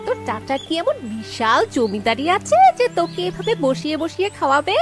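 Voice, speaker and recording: A woman's voice: high voice, big pitch swings, normal speed, music in the background. Woman voicing a harsh, scolding village aunt. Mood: angry, sarcastic. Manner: harsh, sarcastic, shouting scolding. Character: cruel, scolding village aunt berating orphaned nephew. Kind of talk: children's cartoon voice acting.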